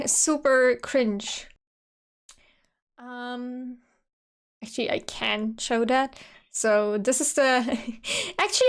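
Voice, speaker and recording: A woman in her twenties with a German accent, chatting casually as a streamer reacting to her old video, full of "like" and frequent laughs. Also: on the high side. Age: 20s. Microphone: USB studio microphone.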